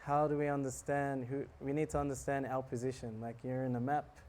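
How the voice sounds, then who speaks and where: A man giving a relaxed, joking talk on scripture to a live audience, often asking them questions. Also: medium pitch, brisk, slight background noise. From South Africa. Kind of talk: casual sermon to a live audience.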